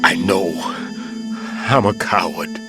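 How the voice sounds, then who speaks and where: A man playing a grave, remorseful middle-aged bomb disposal veteran in a video game cutscene, speaking in a solemn, deliberate way. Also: sits low. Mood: anguished, remorseful. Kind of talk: dramatic game cutscene acting. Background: background music.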